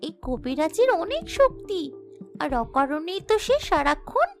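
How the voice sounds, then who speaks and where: A brave young princess of a fairy-tale cartoon, speaks very high and with big melodic swings. She is tender yet resolute, earnest and theatrical. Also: very animated, normal speed, soft background music. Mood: persuasive.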